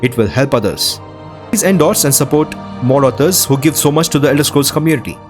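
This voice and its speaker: A man's voice: mid-range voice, fairly quick, faint music behind. A man hosting a gaming news show, presenting to viewers in a scripted style.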